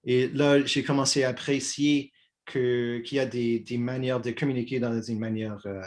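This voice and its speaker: A man in his sixties from Quebec, as a guest in a relaxed dialogue, hesitating and restarting his phrases often. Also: medium pitch. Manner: halting, many restarts and fillers. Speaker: guest, peace activist. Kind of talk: conversational dialogue.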